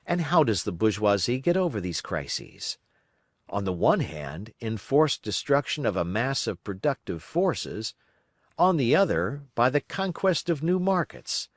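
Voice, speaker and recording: A man reading a political text aloud as scripted audiobook narration, in measured, emphatic, oratorical phrasing. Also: medium pitch, dry recording, studio quality. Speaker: audiobook reader.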